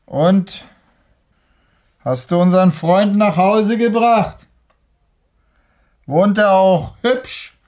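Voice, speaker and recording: Flippant young husband, higher-pitched voice. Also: male voice, extremely slow, pauses often, darkish tone. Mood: taunting. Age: young adult. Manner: colloquial, flippant, sardonic. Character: young husband, offhand, needling, grumbling. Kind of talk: German radio drama acting.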